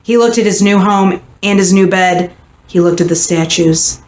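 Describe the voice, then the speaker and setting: A woman reading a children's picture book aloud with expressive, dramatic and deliberate storytelling. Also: mid-range voice. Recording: echoey room, faint background noise.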